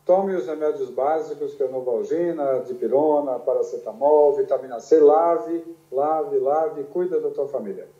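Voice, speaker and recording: A man's voice: on the high side, normal speed, hardly any pauses, warm tone, reverberant, lo-fi sound. A man from Brazil, a doctor, giving earnest, exhorting advice in an interview. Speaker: doctor answering questions.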